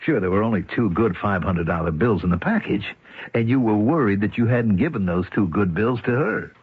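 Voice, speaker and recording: An actor playing a gruff, domineering male con artist with condescending, slangy tough-guy talk in a radio drama. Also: on the low side, animated, fairly quick, no room sound. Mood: scheming, scornful. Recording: muffled, phone-like old radio recording.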